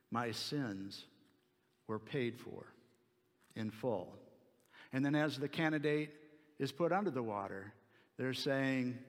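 A man preaching an expository sermon in a deliberate, explanatory way, pausing between short phrases. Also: mid-range voice. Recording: long hall reverb.

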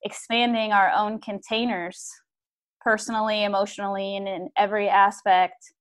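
A woman's voice: mid-range voice, moderate pace. Chatty laughing woman podcast host. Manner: lively, chatty, laughs often. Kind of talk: casual podcast conversation.

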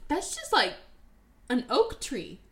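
A young woman hosts a casual podcast, talking fast and loosely with plenty of "like"s and swearing. Her voice is bright and clear, and her pitch swings widely. She sits a little above the usual pitch for a woman. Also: wildly swooping pitch. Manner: profane, rapid, filler-heavy with many "like"s. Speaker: podcast host. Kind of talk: casual movie review chat.

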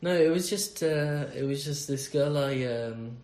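A man tells a story haltingly, pausing and trailing off as he goes. His voice sits a little high for a man. The recording has a roomy echo. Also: darkish tone. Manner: hesitant, trailing off with fillers. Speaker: podcast co-host. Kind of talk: casual chat. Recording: echoey room.

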